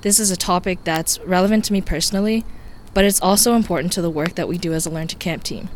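A woman's voice: on the low side, fast. Upbeat female podcast host, scripted intro, faint background hum. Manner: upbeat, read-out delivery.

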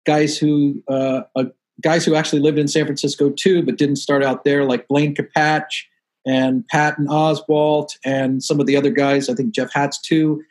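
Chatty host, slightly high voice. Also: male voice, a little mellow. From Washington DC area, USA. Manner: friendly, chatty, many "uh" fillers. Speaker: podcast host. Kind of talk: casual interview chat.

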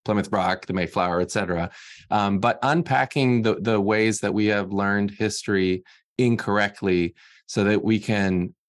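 A man's voice: lowish voice, dry recording, clean studio sound. A man from Massachusetts speaking earnestly as a library guest, thinking aloud with frequent restarts. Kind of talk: casual panel talk.

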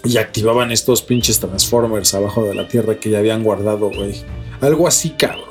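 A man's voice: fairly low pitch, brisk. A man with a Mexican accent co-hosting a news podcast, mixing news reading with casual, sweary slang. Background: background music.

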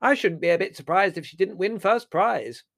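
A man's voice: high-pitched, lively intonation, normal speed, vocal fry, fairly bright tone, no room sound, clean studio sound, pitched above the performer's own voice, exaggerated voice. A man voicing a cheerful, rambling, dotty old aunt in a chirpy, musing storybook manner. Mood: amused. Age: older.

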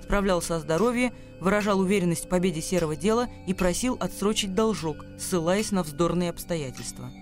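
A man with a notably high voice narrates a radio drama. He reads literary prose as a scripted storyteller, with faint music playing behind him. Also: lively intonation, a little fast, hardly any pauses.

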